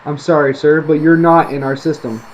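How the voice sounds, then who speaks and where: A man's voice: on the high side, fairly even intonation, moderate pace, lo-fi sound, slight background noise, natural voice. A man reading the part of a polite, apologetic hotel owner with a placating manner.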